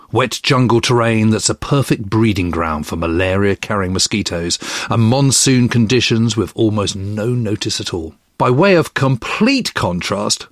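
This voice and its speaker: A man presenting a history podcast with scripted narration in a husky voice. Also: lowish voice, no room sound, studio quality.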